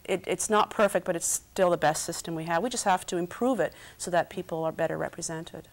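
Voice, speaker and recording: A middle-aged woman with a lowish voice talks earnestly on a TV studio set, picked up by a lapel mic. She often repeats words as she gathers her thoughts. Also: steady tone, a little fast. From Canada. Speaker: politician guest. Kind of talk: studio panel discussion.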